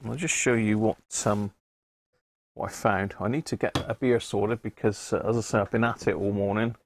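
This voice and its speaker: A man with an English accent and a gravelly voice, hosting a hobby electronics live stream in a chatty, rambling way, thinking aloud as he works. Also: on the low side, steady tone, normal speed, a little hiss or hum. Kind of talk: casual live-stream chat while working at a bench.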